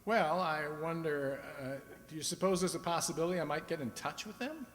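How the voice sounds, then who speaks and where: Male actor as nervous eager henpecked buddy, radio play, wheedling, raised pitch, reverberant hall. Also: animated, brisk, slight background noise, close to an everyday voice. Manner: hesitant, wheedling. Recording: echoey hall with long reverb.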